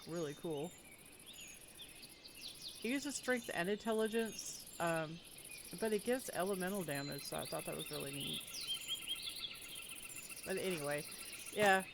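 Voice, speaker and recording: A woman's voice: sits low, vocal fry, background noise. A woman chatting casually to viewers while playing a game, sounding congested and sniffly from a cold, giggling now and then. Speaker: gaming video creator. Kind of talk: casual let's-play commentary.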